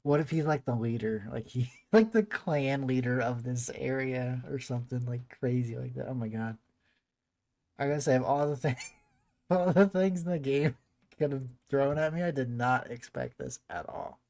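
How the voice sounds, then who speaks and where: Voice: medium pitch, moderate pace, mellow. A gaming video host giving casual reaction commentary while playing through a game.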